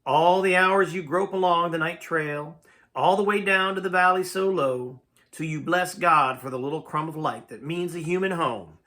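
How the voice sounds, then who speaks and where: A man reading a story aloud as narrator, with a dramatic, expressive, folksy delivery. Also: fairly high pitch, normal speed. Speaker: amateur story reader.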